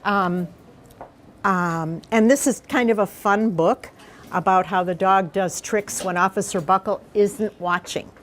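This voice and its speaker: A woman's voice: mid-range voice, a little hiss or hum. Woman reading a picture book to children. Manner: explanatory, pauses to question the children. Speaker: mayor reading aloud to schoolchildren. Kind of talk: picture-book read-aloud with informal explanations to children.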